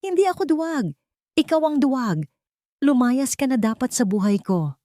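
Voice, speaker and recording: A woman's voice: high-pitched, lively intonation, normal speed, mellow, no room sound, clean studio sound, pitched above the performer's own voice. Woman voicing cold, menacing Filipino villain husband. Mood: furious. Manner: cold, threatening.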